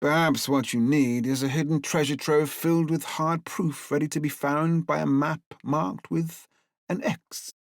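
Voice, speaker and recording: An older male detective for a full-cast audiobook. His voice sits a little high, with theatrical, old-fashioned courtesy and a wry streak. The recording is close and dry. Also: steady tone, unhurried, no room sound. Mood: wry, scheming.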